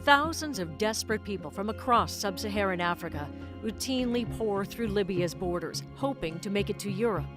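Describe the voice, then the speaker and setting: A woman news anchor presenting a report and interviewing a correspondent in a conversational way with small repeats. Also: medium pitch.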